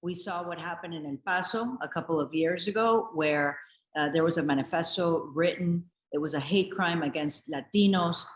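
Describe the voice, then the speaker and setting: Low-voiced Ecuador-born woman, echoey room. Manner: earnest, with frequent restarts and fillers. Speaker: interviewee, former congresswoman. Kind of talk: news interview.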